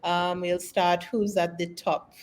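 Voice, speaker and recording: Female panel moderator, slightly low register, slow measured delivery. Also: level tone, a little mellow. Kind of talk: hosting a panel discussion.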